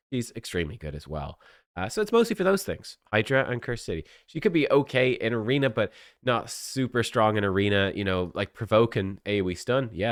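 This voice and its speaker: Man, sick and sniffly, casual game commentary, rambling fillers, lowish voice, dry close mic. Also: dry recording. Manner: chatty, full of fillers and restarts. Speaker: gaming content creator.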